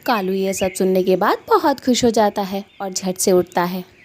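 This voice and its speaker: A woman's voice: mid-range voice, moderate pace, slight background noise. A woman narrating a scripted Hindi cartoon story for children.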